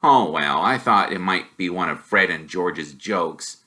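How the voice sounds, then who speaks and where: A man's voice: low voice, expressive, unhurried, sharp tone, exaggerated voice. A man voicing a shy, curious young boy in a storybook, hesitant yet eager and blurting.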